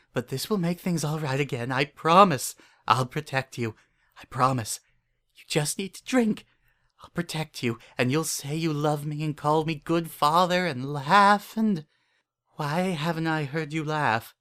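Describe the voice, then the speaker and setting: A man's voice: a little high, a bit bright. A man plays an unhinged narrator telling his own horror story in the first person. He is obsessive and paranoid, with an earnest, oddly childlike way of putting things and a brooding undertone. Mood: desperate, pleading. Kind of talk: first-person dramatic horror fan fiction reading.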